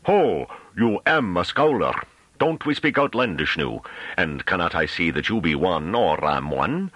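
A man's voice: deep voice, very animated, unhurried, a bit bright, no echo, voice pushed up, heavily acted. A man voicing a big, mocking, belligerent farmer in a thick rustic dialect with rolled Rs and archaic phrasing, for an audiobook. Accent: thick rustic invented dialect with rolled Rs.

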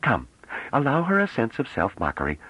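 A man reading a novel aloud, voicing a gentle, courteous older male scholar. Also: mid-range voice, wildly swooping pitch, fairly quick, breathy edge, pitched above the performer's own voice, full character voice. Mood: mild. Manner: mild, courteous. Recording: dull lo-fi dry audio.

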